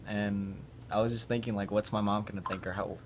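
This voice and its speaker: A man's voice: on the low side, level tone, a little fast, noisy background. Mumbling teenage boy on a phone. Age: teens. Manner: quiet, mumbling, slangy. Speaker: vlogger filming himself. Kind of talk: casual phone vlog. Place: small room at home. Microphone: phone camera at arm's length.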